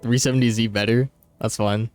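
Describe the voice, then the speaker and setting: Man, mid-pitched voice, game streamer, blunt slangy banter, heavy swearing, music in the background. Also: steady tone, brisk, darkish tone, clear, resonant tone. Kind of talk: casual profane live gameplay commentary and chat banter.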